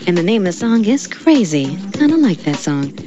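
A woman's voice: mid-range voice, very animated, moderate pace. An American woman working as a radio DJ, announcing between songs. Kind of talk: radio DJ announcing over music. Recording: dull radio aircheck over loud music. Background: music.

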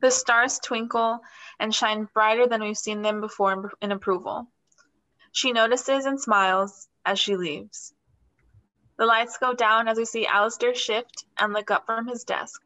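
Casting: female stage-direction narrator, crisp bright tone, higher-set voice, scripted reading. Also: fairly even intonation, unhurried, poor audio. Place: plain room, indoors.

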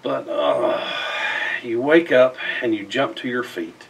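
A middle-aged man reads a rhyming children's picture book aloud in an expressive, theatrical way with emphatic phrasing. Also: medium pitch, slow, gravelly, husky, far from the mic, rough recording. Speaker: storybook reader. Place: indoors in front of a festive backdrop.

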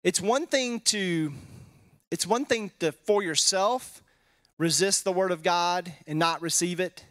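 Texan pastor teaching casually, higher voice. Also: male voice, a little slow, a bit bright. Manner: casual, tags statements with "right?", thinks aloud with fillers. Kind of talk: conversational Bible teaching. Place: wood-paneled church hall, indoors. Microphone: handheld microphone.

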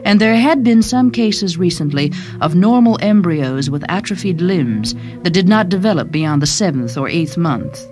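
A woman's voice: fairly low pitch, animated, normal speed, hardly any pauses. Woman narrating a story over music. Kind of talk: scripted short-story narration.

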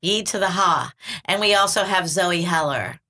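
A woman's voice: fairly low pitch, animated, normal speed, sharp tone. A woman hosting a casual group chat, laughing often as she talks. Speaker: podcast host.

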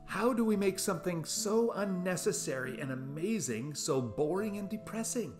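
A man's voice: sits high, lively intonation, normal speed, music in the background. A man in his fifties giving a scripted, essay-like talk to camera, asking rhetorical questions with playful emphasis. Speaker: video essayist. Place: small room at home, indoors.

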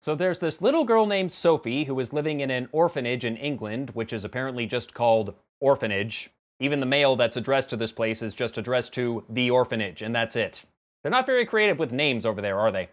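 A man's voice: mid-range voice, a bit bright. A man in his thirties giving a casual, wry film review to camera in a room at home, stressing and drawing out words. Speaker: film reviewer, vlog host. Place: small home room with computer desk, indoors.

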